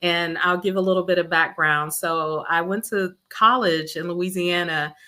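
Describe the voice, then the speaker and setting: Bright-voiced woman from Mississippi, an education administrator, as an earnest interview guest speaking over a video call. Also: lowish voice, fairly even intonation, moderate pace. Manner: warm, earnest storytelling with fillers. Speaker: school district chief academic officer.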